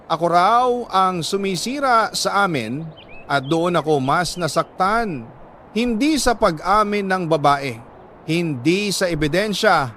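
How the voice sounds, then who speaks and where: High-pitched Filipino man, dramatic narration. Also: fairly bright tone, a little hiss or hum. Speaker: narrator reading a listener's letter. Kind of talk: dramatic scripted storytelling narration.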